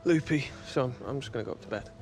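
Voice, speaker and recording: A man's voice: medium pitch, very fast, a little mellow, soft background music, lightly acted. An actor playing a flustered young man talking casually in a video game cutscene. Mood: awkward, flustered.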